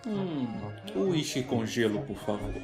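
A formal, earnest angel acted by a man devoted to humanity, in an audio drama. The voice is mid-pitched and moves widely in intonation. Music is clearly present underneath. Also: very animated, unhurried, a crowd in the background. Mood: wry.

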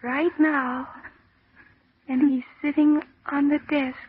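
A young woman trapped in the dark, frightened and slipping into delirium. Her voice is high and her delivery very slow and halting, in 1940s radio drama manner. The recording is thin and narrow like an old broadcast. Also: little pitch movement. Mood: dreamy, resigned. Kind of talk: 1940s radio horror acting.

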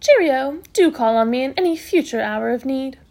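A woman voicing a brisk, bossy, self-assured hospital matron in a storybook, scolding cheerfully in emphatic exclamations. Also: high-pitched, lively intonation, darkish tone. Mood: amused.

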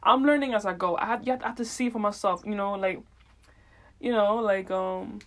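A woman's voice: medium pitch, fairly quick, a bit bright. Unfiltered, slangy woman rambling casually. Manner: slangy, heavy swearing, unfiltered; meandering, thinking aloud. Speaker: podcast host.

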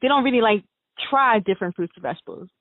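A woman's voice: a little high, animated, fast talker, no room sound. A woman with an American accent hosting a casual radio interview, informal and agreeable, often saying "like". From United States. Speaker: interview host. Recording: tinny phone-like radio line.

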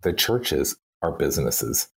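A man's voice: rumbling low, sing-song, slow pace, vocal fry, husky. A man with a Texan accent, a guest in an interview, talking casually and thinking aloud with lots of fillers. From Texas. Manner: chatty, thinks aloud with many "like" and "you know". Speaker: interview guest, campaign strategist.

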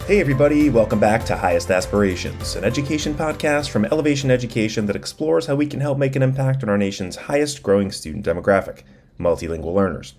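A man's voice: medium pitch, animated, fast talker, a little mellow. A man delivering a scripted podcast introduction as host. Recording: music behind, recorded on the road.

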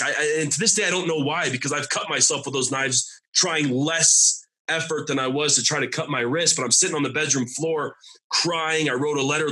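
Husky, bright-voiced man in his thirties. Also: fairly high pitch. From Arizona. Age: 30s. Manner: halting, often repeats and restarts words. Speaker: guest sharing a testimony. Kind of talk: personal recovery testimony.

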